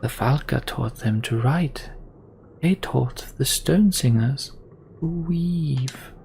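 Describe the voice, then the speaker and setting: A man voicing a sly woman storyteller in a video game, theatrical and measured with hushed, breathy phrasing. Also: mid-range voice, slight background noise. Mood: theatrical, knowing.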